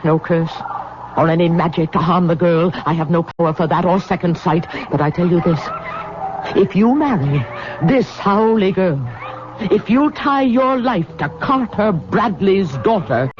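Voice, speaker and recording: A woman's voice: lowish voice, lively intonation, a little slow, a little hiss or hum. An actress playing a proud, commanding old Hawaiian queen and mother in a radio drama, speaking solemnly and formally. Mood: stern, ominous. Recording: dull old radio recording with music behind.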